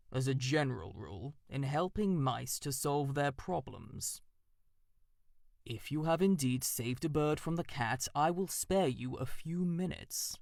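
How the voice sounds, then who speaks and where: A man voicing a stern, formal male owl with a deep, sonorous voice, speaking slowly in a storybook reading. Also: creaky, a little mellow, no echo, clean studio sound. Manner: formal, slow and measured.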